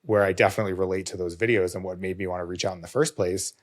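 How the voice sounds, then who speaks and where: A man hosting a podcast in casual conversation, his voice slightly hoarse with a touch of vocal fry, earnest and reflective. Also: deep voice, fairly even intonation, very fast. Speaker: podcast host.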